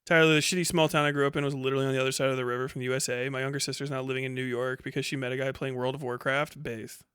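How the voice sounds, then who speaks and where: A man's voice: mid-range voice, little pitch movement, rapid-fire, a bit bright, smooth, dry recording, studio quality. A man in his thirties doing casual livestream commentary and reading chat in a blunt, sweary, incredulous way. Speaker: live streamer.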